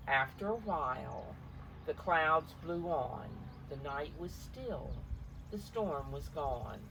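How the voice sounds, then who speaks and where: A woman reading a picture book aloud to young children, expressively, pausing to explain the pictures in simple words. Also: low voice, lively intonation, gravelly, noisy background. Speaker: storybook reader for preschoolers.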